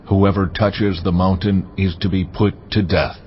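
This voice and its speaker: An actor playing God as a commanding, almighty male voice, speaking in a solemn and measured way in a dramatized Bible reading, with orchestral music behind. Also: low-pitched, level tone, slight background noise. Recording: muffled audio under orchestral music.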